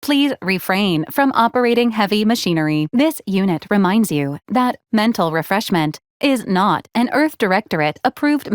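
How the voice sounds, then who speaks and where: A woman plays a courteous female robot companion from a video game. The voice sits at a medium pitch, formal and procedural, with dry, deadpan humor. The recording is close and dry. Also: dry recording, lightly acted. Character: polite, dryly droll female robot assistant unit. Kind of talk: scripted video game character lines.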